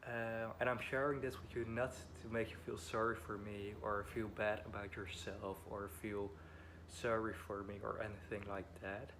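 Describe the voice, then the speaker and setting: A man's voice: on the low side, flat delivery, normal speed, fairly bright tone. A man in his twenties from the Netherlands, speaking English with a Dutch accent, Dutch being his first language. He tells a personal story at home as a vlogger, a bit nervous, hesitant and apologetic with frequent ums. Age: 20s. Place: home beside a busy road. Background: faint wind and passing traffic.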